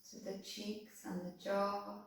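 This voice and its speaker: A woman calmly leading a guided body-scan meditation, giving soothing, unhurried instructions. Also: medium pitch. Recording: very echoey room, voice distant from mic.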